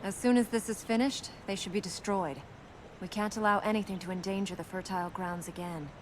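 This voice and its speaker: A woman playing a solemn, resolute young princess in a video game, speaking in an earnest, measured, matter-of-fact way. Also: fairly even intonation, lightly acted. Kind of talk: natural video game character acting. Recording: game capture with rumbling background noise.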